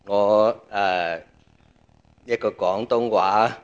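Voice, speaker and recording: A man with a Cantonese accent delivers a sermon in a fairly low voice. He talks conversationally and breaks his thoughts into short phrases, leaving gaps for an interpreter. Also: fairly even intonation, slow, highs cut off. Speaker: preacher.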